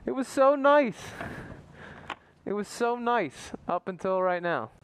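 High-voiced young guy vlogging from his motorcycle. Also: slow pace, poor audio. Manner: crude, joking, excitable. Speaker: motovlogger. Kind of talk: casual riding vlog. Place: outdoors on a motorcycle, suburban streets. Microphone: helmet-mounted action camera mic. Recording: wind and engine noise on a helmet mic.

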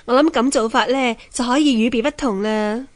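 A woman plays a wise wife in a Cantonese radio drama with a Hong Kong accent. Her voice sits fairly high, and she advises her husband gently and expressively. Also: brisk, lightly acted. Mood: thoughtful.

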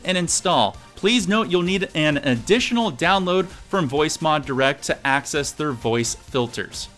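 An energetic man in his thirties presenting an upbeat scripted tech tutorial, addressing viewers directly. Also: sits high. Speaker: video host. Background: music.

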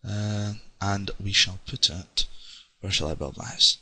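Chatty Scottish man, bright and dry. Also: sits low, a little slow, husky, dry recording, low-quality recording. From Scotland. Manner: rambling, chatty, many ums. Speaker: let's play gamer. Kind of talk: casual game commentary.

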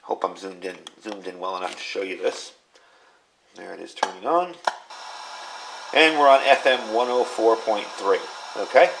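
A man's voice: on the low side, moderate pace, vocal fry, pauses often, noisy background. A middle-aged man with an American accent, giving an informal step-by-step product demonstration from behind the camera at a workbench. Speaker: radio gear reviewer, video host. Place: indoors at a workbench in a home workshop.

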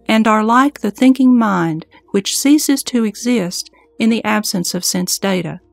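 A woman playing an earnest, reverent monk disciple in a formal, measured scripture audio drama. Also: a little high, gravelly, no room sound. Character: earnest, reverent young monk disciple questioning his master.

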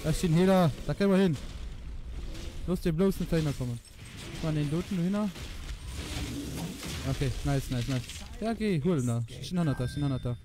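A man who is a native Luxembourgish speaker, giving casual, excitable live gameplay commentary and repeating words in urgent bursts, with swearing. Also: on the high side, fairly quick, pauses often. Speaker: gamer recording a let's play. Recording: noisy home recording with game sound. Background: video game sound.

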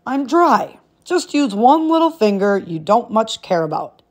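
A woman's voice: on the high side, sing-song, unhurried. A woman voicing a sly, teasing male frog in a storybook, with a goading, pert manner.

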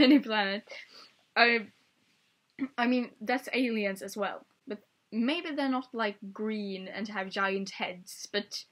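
A young woman whose first language is Swedish, chatting playfully in her bedroom into a webcam and laughing often. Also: a little high. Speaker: vlogger. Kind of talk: casual tag video chat. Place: small bedroom, indoors. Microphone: webcam at desk.

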